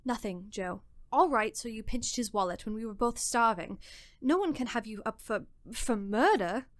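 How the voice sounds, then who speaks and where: A woman's voice: on the high side, expressive, moderate pace, close to an everyday voice. A woman playing a practical, protective young woman in a radio drama, reasoning and pleading with her partner.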